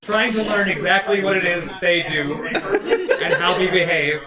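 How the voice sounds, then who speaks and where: A man's voice: high voice, very animated, measured pace. A man talking casually as a player describing his character at a live tabletop game. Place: live show at a gaming convention. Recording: echoey live hall, distant mic, loud crowd.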